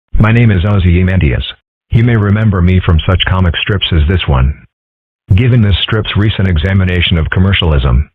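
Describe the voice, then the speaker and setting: A man voicing a calm, dry-witted young fox boy in a fan comic dub. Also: sits low, slightly rough, no room sound. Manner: deadpan, wry. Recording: dry, tinny phone-like audio.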